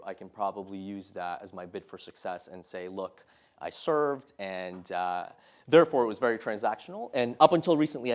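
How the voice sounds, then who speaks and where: Earnest, measured man with low voice. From Saudi Arabia. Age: 30s. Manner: earnest, measured, many "uh" and "um" fillers. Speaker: guest speaker, Marine officer. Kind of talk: public talk to an audience.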